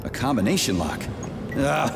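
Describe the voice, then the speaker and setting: An actor playing a cocky, sarcastic, foul-mouthed special-forces soldier in a video game, blunt and sardonic. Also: male voice, mid-range voice, big pitch swings, normal speed, creaky. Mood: sarcastic, annoyed. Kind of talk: scripted video game voice acting. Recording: reverberant space under loud music.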